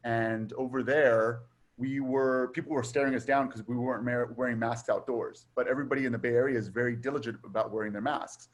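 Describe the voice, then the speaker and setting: A man's voice: mid-range voice, brisk. Easygoing man chatting casually. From Taiwan, raised in Southern California. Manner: relaxed, chatty, frequent "um" and "you know". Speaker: guest. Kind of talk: casual conversation.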